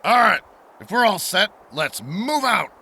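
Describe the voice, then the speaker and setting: A man playing a wary, authoritative military captain in a post-apocalyptic audio drama, briskly giving orders. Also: high voice, big pitch swings, gravelly, sharp tone, breathy edge, full character voice. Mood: urgent, commanding.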